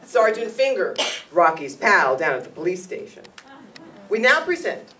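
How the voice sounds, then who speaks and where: Middle aged lady reading a show intro, really lively pitch, far from the mic, bit noisy. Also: moderate pace. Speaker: presenter introducing a radio play. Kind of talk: scripted narration, read to an audience. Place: meeting room stage, indoors. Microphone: far camera. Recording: distant camera mic, background noise.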